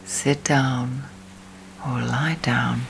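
A woman leads a guided sleep meditation in a soft, breathy, near-whispered voice, soothing, with long pauses between phrases. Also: very deep voice. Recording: steady storm and rain noise. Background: rain and storm.

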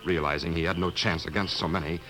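A man's voice: sits low, steady tone, quick pace, a bit bright, low-quality recording. A man narrating a scripted western adventure in 1950s radio drama style. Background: music.

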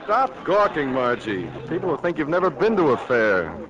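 A man's voice: fairly high pitch, big pitch swings, normal speed, highs cut off, crowd noise. An actor playing an eager, bashful young man in a 1940s radio drama. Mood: flustered. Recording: noisy 1940s radio broadcast recording.

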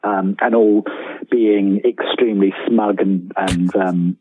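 A man's voice: deep voice, steady tone, takes it slowly, a little hiss or hum. A man chatting casually as an interview guest, hesitant and wryly self-deprecating, over a tinny remote call. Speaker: guest, writer of books and audio dramas. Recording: tinny phone-like line.

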